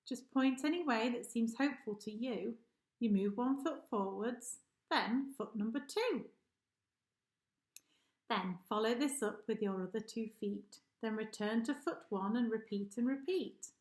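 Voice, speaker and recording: A woman voicing a wise old giraffe giving measured, encouraging advice in a children's poem. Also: a little high, darkish tone, roomy sound, lightly acted.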